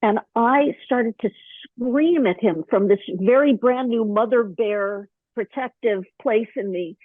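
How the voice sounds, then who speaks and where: A slightly hoarse woman chatting as an interview guest, telling stories animatedly with lots of fillers. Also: a little high, unhurried, no room sound. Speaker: podcast guest, author and actor. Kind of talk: casual interview chat. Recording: dry, thin phone-line sound.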